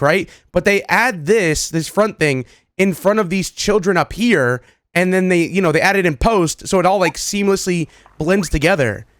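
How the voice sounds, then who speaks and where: A man hosting a reaction video, talking casually to viewers with excited, repeated exclamations. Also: high voice, dry recording, clean studio sound. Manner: casual, repetitive exclamations, addresses viewers familiarly. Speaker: video host reacting to game clips.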